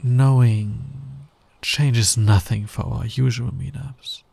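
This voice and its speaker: A man playing a smug, flirty fantasy villain in an intimate ASMR roleplay, breathy and teasing, often sinking toward a whisper. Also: mid-range voice, fairly even intonation, dark tone, dry recording, heavily acted. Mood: confident, challenging. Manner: teasing, slow, deliberate, dominant. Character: flirty, smug male fantasy villain, patient, smitten with hero. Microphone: close microphone, dry sound.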